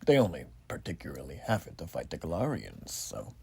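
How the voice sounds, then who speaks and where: A man playing an earnest, bitterly patriotic revolutionary soldier in a soft-spoken ASMR roleplay, polite and formal with quaint old-fashioned phrasing, his breathy voice often dropping to a whisper. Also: rumbling low, wildly swooping pitch, brisk, slight background noise, full character voice. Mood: friendly, chatty.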